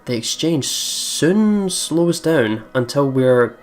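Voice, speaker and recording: A let's play host giving casual, excitable game commentary and reading a visual novel's narration aloud. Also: medium pitch, takes it slowly. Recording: game music behind commentary. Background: visual novel game music.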